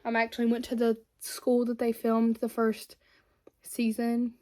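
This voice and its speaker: Young woman, slightly high voice, casual rambling makeup chat. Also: monotone, normal speed, mellow. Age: 20s. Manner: chatty and rambling, many fillers. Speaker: beauty vlogger.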